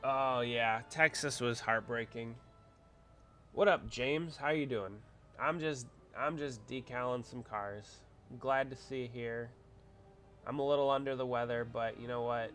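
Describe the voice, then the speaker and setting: A man's voice: medium pitch, measured pace, fairly bright tone, faint music behind. Stuffy-nosed man chatting on a livestream. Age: adult with a wife. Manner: chatty, rambling, reads out viewer comments. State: congested from a cold. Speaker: livestream host. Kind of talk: casual livestream chat.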